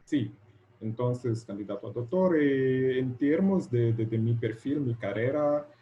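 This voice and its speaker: A man whose native language is Portuguese, with that accent, giving an informal online presentation as a guest lecturer, haltingly repeating words as he searches for vocabulary. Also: mid-range voice, animated, lo-fi sound, a little hiss or hum. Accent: Portuguese-speaker's. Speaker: guest lecturer, doctoral candidate. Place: video call.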